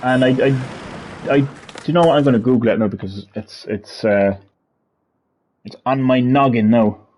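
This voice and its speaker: A man hosting a casual gaming stream, rambling, laughing often and reading web text aloud haltingly. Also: medium pitch, darkish tone. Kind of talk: casual gameplay chat.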